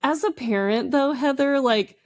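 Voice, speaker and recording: A middle-aged woman in casual podcast banter, expressive and giggly, often exclaiming as she reacts. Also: high-pitched, measured pace. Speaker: podcast host. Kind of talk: casual banter, reading listener confessions.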